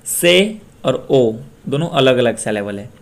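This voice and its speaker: A young man from Bihar, India, teaching a pronunciation lesson and repeating each word slowly for practice. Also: mid-range voice, animated. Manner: slow, repeats words drill-style. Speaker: language teacher.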